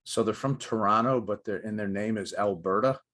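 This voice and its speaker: A man's voice: fairly low pitch, fairly quick, a bit bright. A man hosting a sports show, introducing segments and interviewing in a presenter's style.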